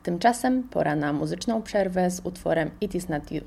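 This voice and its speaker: A woman radio host reading a scripted podcast recommendation at an even, measured pace. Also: on the low side, creaky.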